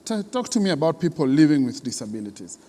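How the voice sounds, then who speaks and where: A man's voice: a little high, very animated, quick pace, warm tone. A man hosts an interview in a conversational, attentive way. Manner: attentive, frequent short acknowledgements. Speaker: interview host.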